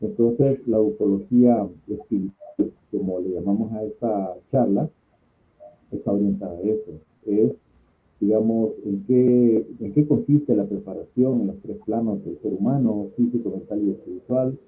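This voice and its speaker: A middle-aged man with a Salvadoran Spanish accent, answering interview questions as a guest in an earnest, explanatory way with deliberate pauses. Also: lowish voice. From San Salvador, El Salvador. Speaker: invited guest, conference speaker and contact group organizer. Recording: thin phone-like call audio.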